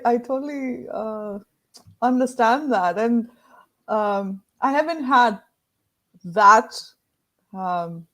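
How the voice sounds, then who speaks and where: A woman from India, hosting an interview podcast in an affirming way and using many fillers. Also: medium pitch. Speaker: podcast host.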